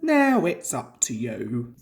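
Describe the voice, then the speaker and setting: A storyteller voicing a steady male engine driver in a children's story, giving firm, instructive orders. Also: mid-range voice, slow, voice pushed down, full character voice.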